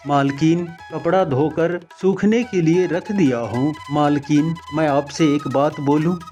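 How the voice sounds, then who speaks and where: A man playing a poor, long-suffering household servant in an animated moral story, deferential and pleading. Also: a little high, a little slow, faint music behind, close to an everyday voice. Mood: humble, pleading.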